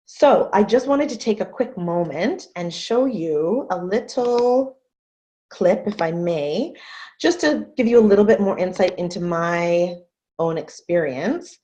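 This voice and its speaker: A woman in her thirties from Canada giving an earnest, measured webinar talk as a guest speaker over a video call. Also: on the low side, lively intonation, warm tone. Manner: earnest, measured, repeats phrases for emphasis. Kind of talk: webinar presentation. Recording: muffled video call audio.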